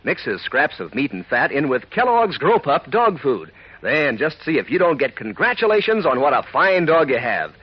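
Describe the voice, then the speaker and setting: Animated American radio announcer, husky-voiced man. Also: a little high, normal speed, dry recording. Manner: emphatic, chummy salesmanship to children. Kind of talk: 1940s radio commercial pitch. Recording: muffled old radio recording, music.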